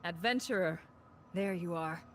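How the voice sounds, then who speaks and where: Low, unhurried, proud warrior woman, acted. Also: a little hiss or hum, lightly acted. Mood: greeting. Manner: solemn, earnest, resolute. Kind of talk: scripted fantasy game voice acting.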